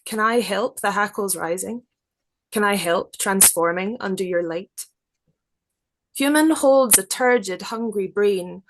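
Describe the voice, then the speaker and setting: A woman poet speaking in a deliberate, careful, literary way, as in a reading of her own work. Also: mid-range voice, slight background noise, low-quality recording. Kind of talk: measured poetry reading and reflective explanation.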